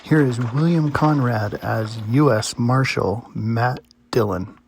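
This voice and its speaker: A man's voice: mid-range voice, takes it slowly, vocal fry, a little mellow, a little hiss or hum. A man reading a scripted introduction as a program presenter. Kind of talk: scripted narration.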